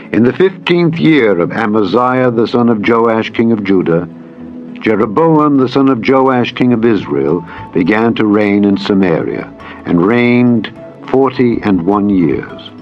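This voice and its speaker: Deep-voiced solemn male scripture narrator over music. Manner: measured, formal, deliberate phrasing. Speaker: Bible narrator. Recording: dull lo-fi audio, music behind. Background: background music.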